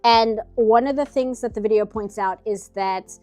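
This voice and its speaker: A woman's voice: on the high side, normal speed, mellow, soft background music. A nasal-sounding woman streaming herself painting, chatting casually in rambling asides. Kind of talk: casual chat while drawing.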